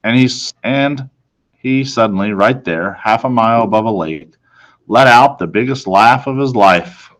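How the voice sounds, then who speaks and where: A man reading a children's storybook aloud in a dramatic manner, with deliberate pauses. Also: medium pitch, a bit bright, poor audio. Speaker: storybook reader.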